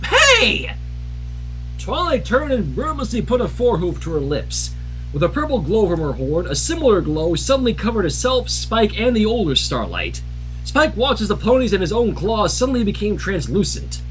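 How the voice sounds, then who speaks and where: Man, livestream story reader, highly animated intonation, casual asides, background music. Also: brisk, poor audio. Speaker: fan fiction reader on livestream.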